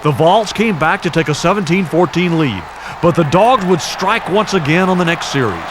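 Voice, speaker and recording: A man narrates a sports documentary in a measured, scripted way, his voice slightly raised in pitch. Noticeable noise runs behind him throughout. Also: lively intonation.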